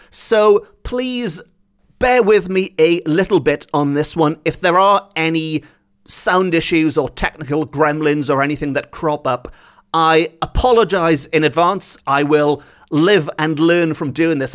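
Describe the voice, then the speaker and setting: A man hosting a podcast in a casual, chatty, self-deprecating way. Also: fairly high pitch. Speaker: podcast host.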